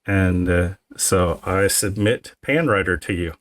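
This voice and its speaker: A man with a slightly low voice co-hosts a podcast. He explains things in casual talk with halting pauses mid-sentence, recorded close and dry. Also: a bit bright, no room sound. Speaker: podcast co-host.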